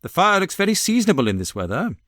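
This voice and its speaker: A British man voicing a suave, confident gentleman detective in a storybook reading, with a smooth, assured manner. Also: high-pitched, wildly swooping pitch, fast, no echo, clean studio sound, voice pushed up, heavily acted. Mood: cheery, teasing.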